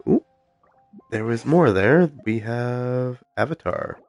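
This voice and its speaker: A man's voice: mid-range voice, expressive, takes it slowly, no room sound, muffled recording, faint music behind. A man streaming a video game live, talking casually with a stuffed-up cold voice and lots of ums as he reads out the game's menus. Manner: soft-spoken, many ums, reads game text aloud.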